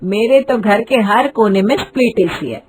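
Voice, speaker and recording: A woman's voice: a little high, moderate pace, a little mellow, natural voice, rough recording. A woman voicing a boastful, dramatic middle-aged Indian mother-in-law in a cartoon moral story. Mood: boastful, mocking. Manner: haughty, dramatic, wheedling.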